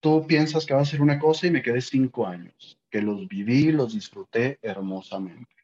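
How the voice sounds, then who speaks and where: A man's voice: mid-range voice, moderate pace, darkish tone, muffled recording. A man in his late thirties from Sonora, Mexico, with a northern Mexican accent, talking animatedly as an interview guest. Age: 30s. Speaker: guest, yoga teacher. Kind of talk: casual interview storytelling.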